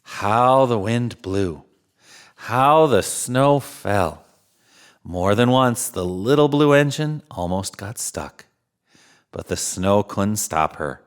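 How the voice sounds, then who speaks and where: A man narrating a children's storybook theatrically, with drawn-out emphasis and chuckles. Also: lowish voice, expressive, clear, resonant tone. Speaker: storyteller in Santa persona.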